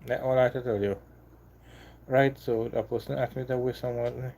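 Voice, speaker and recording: A man from Trinidad with a Trinidadian accent, hosting a casual live stream and chatting with viewers in a halting, repetitive way. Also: mid-range voice, little pitch movement, vocal fry, dark tone. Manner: halting, repeats himself, apologetic then defiant. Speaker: livestream host.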